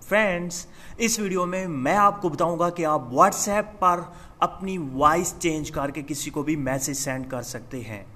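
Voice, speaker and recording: A man's voice: a little high, a little slow, sharp tone. A man hosting an app tutorial, addressing viewers directly and explaining each step in an instructional way. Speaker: tutorial channel host. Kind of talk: scripted-sounding phone app tutorial narration to viewers.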